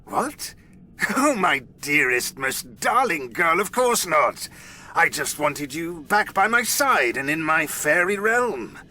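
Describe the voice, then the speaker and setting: A man's voice: a little high, sing-song, slow pace, sharp tone, slight background noise, heavily acted. An actor playing a possessive, menacing fairy king in an audio drama, with a theatrical, oily, scornful delivery.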